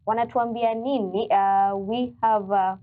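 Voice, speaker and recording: A woman's voice: on the high side, unhurried, phone-quality sound. A woman with a Kenyan accent hosting a TV morning show. She asks questions casually and fills in with short listening cues. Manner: steady listener, frequent short "mm-hmm" cues. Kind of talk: casual interview hosting, mixing English and Swahili.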